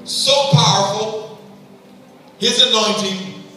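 A male pastor preaching a sermon on a large auditorium stage, emphatic, swinging between hushed and loud, and speaking straight to the church. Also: sits high, steady tone, slow, faint music behind. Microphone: room sound from a far camera. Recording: echoey hall, heard from far off.

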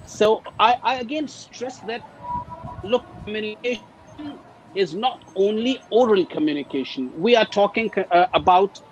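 A man whose first language is Urdu, an ESL teacher speaking over a video call in a halting, hesitant way. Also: sits high, lo-fi sound, slight background noise. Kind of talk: panel discussion. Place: teaching abroad.

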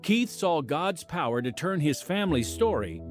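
A man hosting and narrating a scripted radio program with deliberate, dramatic phrasing. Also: a little high, sing-song. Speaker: podcast host and announcer. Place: studio voice-over. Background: music.

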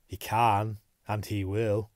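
A man's voice: fairly low pitch, little pitch movement, slow, a little mellow, no room sound, clean studio sound. A man voicing a simple, earnest prisoner who is devoted to his demon, in an insistent manner. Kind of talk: dramatic horror story reading.

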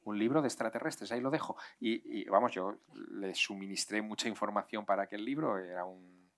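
A deep-voiced man in his forties answers audience questions at an outdoor event. He talks through a headset mic, gesturing as he goes and drifting into side remarks and little confidences. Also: brisk. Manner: animated, hand-gesturing, discursive with asides. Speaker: invited author. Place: outdoor garden stage.

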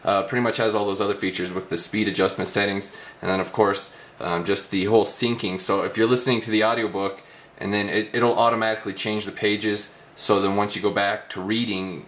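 A man's voice: deep voice, flat delivery, fairly quick, a bit bright. A man hosting a casual hands-on tech demo, talking indoors in a room. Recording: roomy, echoey indoor recording.

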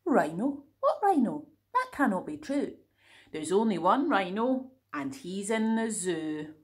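A woman playing an angry shouting farmer. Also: mid-range voice, very animated, unhurried. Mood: indignant. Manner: indignant, accusing.

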